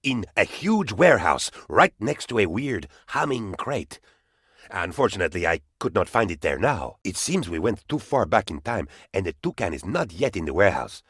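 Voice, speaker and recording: Pompous, melodramatic, husky-voiced game henchman. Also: lowish voice, big pitch swings, moderate pace, fairly bright tone, dry recording, studio quality, heavily acted. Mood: scheming, muddled. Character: pompous, lovesick, paranoid male presidential aide, bumbling and self-important. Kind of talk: theatrical video game character voice lines.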